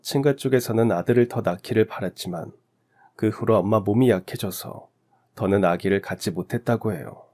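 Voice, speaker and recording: A man's voice: lowish voice, normal speed, a little mellow. A man narrating a scripted first-person life story as a radio story narrator.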